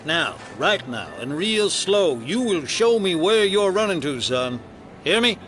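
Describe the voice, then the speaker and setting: An actor playing a hostile small-town sheriff in an audio drama, barking curt, threatening orders. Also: male voice, high-pitched, big pitch swings, unhurried, a bit bright, slight background noise, exaggerated voice. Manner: curt, menacing, commanding.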